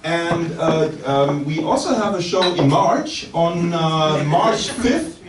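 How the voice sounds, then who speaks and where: A man's voice: a little high, lively intonation, slow. A man hosting a comedy show, teasing the audience and chuckling often between lines. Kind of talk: stand-up crowd work. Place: comedy venue with a live audience. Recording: echoey room, mic far off, loud crowd.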